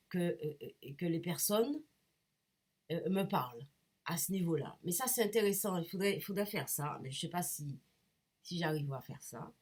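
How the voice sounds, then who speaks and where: A woman with a cold talks straight to her viewers in a low, husky voice. She rambles and hesitates, breaking off and restarting as she hunts for the right words. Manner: hesitant, many restarts and fillers, searching for words. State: has a cold. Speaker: painter and vlogger. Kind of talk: rambling casual talk to camera.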